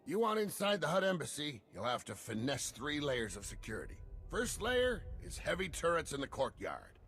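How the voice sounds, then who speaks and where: An actor playing a gruff, irreverent veteran security chief, blunt and wry in an informal way. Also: male voice, on the high side, wildly swooping pitch, moderate pace, fairly bright tone, faint music behind, full character voice. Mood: brisk briefing.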